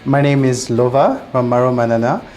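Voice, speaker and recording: A man's voice: medium pitch, normal speed, a little mellow, soft background music. A man from Madagascar, a flight instructor, speaking earnestly with frequent hesitant fillers. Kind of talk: testimonial interview.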